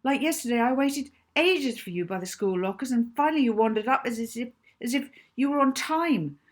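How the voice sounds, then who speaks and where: A woman's voice: on the high side, animated, normal speed, voice pushed up. A woman voicing a hurt, snappy, defiant schoolgirl in a children's audiobook, heated and accusing. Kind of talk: acted children's audiobook dialogue.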